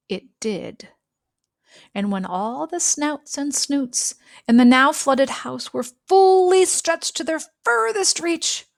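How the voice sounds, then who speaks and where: Unhurried woman reading a children's storybook. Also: a little high, no room sound, clean studio sound. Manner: measured, dramatic phrasing with deliberate pauses. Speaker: storybook reader. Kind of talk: expressive read-aloud narration of a children's picture book.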